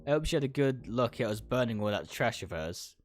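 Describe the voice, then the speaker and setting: Obsessive female kidnapper for a visual novel, played by a man: possessive, coaxing, commanding, swinging pitch, soft music underneath. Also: animated, fairly quick, lightly acted. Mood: spiteful, gloating. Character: obsessive, possessive young woman kidnapper, proud and menacing. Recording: music behind the voice.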